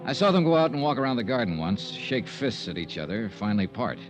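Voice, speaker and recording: An actor playing a wry, unflappable insurance investigator in old-time radio detective style, dry and laconic. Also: male voice, mid-range voice, sing-song, fast talker, over music, close to an everyday voice. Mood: brisk, matter-of-fact. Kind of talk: old-time radio detective acting, first-person narration. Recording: muffled vintage radio recording.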